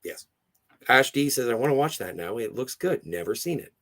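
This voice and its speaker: Hoarse, gravelly man chatting on a livestream. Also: medium pitch, expressive, moderate pace, fairly bright tone. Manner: rambling, digressive, easily sidetracked. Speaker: livestream host. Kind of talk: casual livestream chat, reading out viewer comments.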